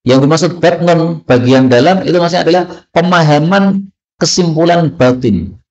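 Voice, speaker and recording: A man's voice: on the high side, normal speed, a little mellow. A man teaching a religious study lesson, translating texts line by line and asking and answering his own questions. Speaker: Islamic teacher. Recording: dull, lo-fi recording.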